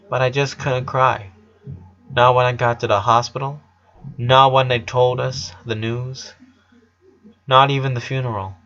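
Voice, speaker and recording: A man reading the part of a grieving young girl in a story, halting and repeating words as if choked up. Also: mid-range voice, sharp tone. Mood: subdued grief. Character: grieving young girl confessing her sorrow. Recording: dull lo-fi sound.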